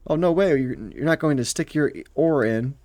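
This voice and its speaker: A man's voice: on the high side, normal speed, darkish tone, dry recording, pitched above the performer's own voice, close to an everyday voice. A man voicing a self-righteous, spiteful woman in a fantasy story game, scandalised and accusing. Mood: outraged, accusing.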